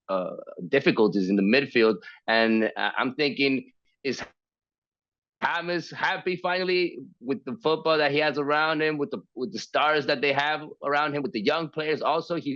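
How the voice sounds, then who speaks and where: Male podcast co-host, halting delivery, slightly muffled dry recording. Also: mid-range voice, a bit bright, dry recording. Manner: stammers and restarts while asking. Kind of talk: podcast interview question. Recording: dull dry lo-fi mic.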